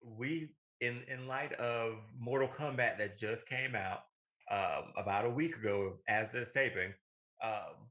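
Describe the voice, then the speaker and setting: A man's voice: medium pitch, measured pace, sharp tone. A man in his thirties co-hosting a casual show, talking informally and often circling back to repeat himself. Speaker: co-host of a movie and video game show. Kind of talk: casual conversational banter.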